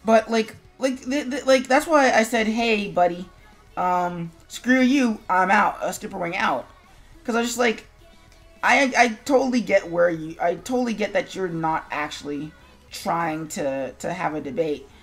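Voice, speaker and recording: An American woman talks like a streamer in the middle of an opinionated chat. She is blunt and sarcastic, with a medium-pitched voice. Faint music plays behind her. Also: measured pace. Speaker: streamer, show host.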